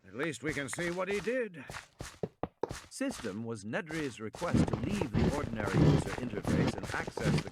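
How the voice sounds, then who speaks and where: A man reading scripted audiobook narration with deliberate, precise enunciation. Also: mid-range voice. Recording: loud gunfire and clicking game noise behind.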